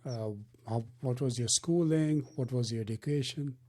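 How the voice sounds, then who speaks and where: Mellow-voiced man hosting an interview. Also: medium pitch, moderate pace. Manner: friendly, chatty, filler-heavy questions. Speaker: interview host. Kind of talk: casual interview.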